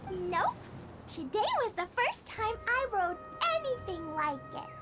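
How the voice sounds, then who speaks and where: Excitable, very high-pitched little girl. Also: unhurried, music in the background, heavily acted. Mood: bright. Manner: childlike, wide-eyed, innocent. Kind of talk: English video game voice acting.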